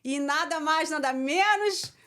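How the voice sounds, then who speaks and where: Woman, podcast host, effusive, enthusiastic, slightly high voice. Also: a little slow, sharp tone, faint music behind. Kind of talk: podcast interview.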